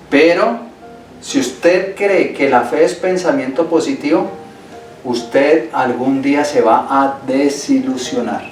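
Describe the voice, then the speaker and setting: A male pastor preaching a Sunday sermon in a church, emphatic and repeating key phrases for stress. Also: mid-range voice. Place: church sanctuary. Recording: echoey hall, voice from far off.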